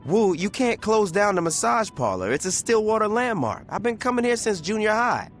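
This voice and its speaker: Cocky, animated young gang boss. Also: male voice, high voice, moderate pace, faint music behind. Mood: insistent, upbeat. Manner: casual swearing street slang. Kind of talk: video game cutscene acting.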